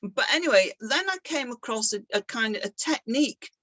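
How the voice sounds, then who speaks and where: A woman's voice: a little high, animated, brisk, a bit bright, dry recording. A middle-aged woman talking casually about her own artwork, chatty and self-deprecating, laughing often. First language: English. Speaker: artist giving a talk. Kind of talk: casual presentation.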